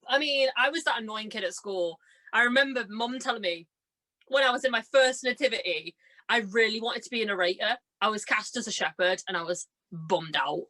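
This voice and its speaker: A young woman chatting casually as a guest, telling stories in an animated, giggly and self-deprecating way, acting out bits of dialogue. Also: fairly high pitch. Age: young adult. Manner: chatty, animated storyteller who acts out reported dialogue. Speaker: podcast guest, stage and radio drama performer. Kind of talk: casual chat, telling anecdotes.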